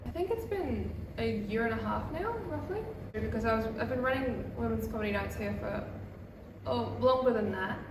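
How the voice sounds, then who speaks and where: A woman's voice: on the high side, moderate pace. A woman, a comedian chatting casually in an interview with lots of "um" and "like". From Australia. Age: 20s. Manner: relaxed, chatty, with many fillers like "um" and "like". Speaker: comedian and comedy night producer. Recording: echoey room, mic far off, noisy background.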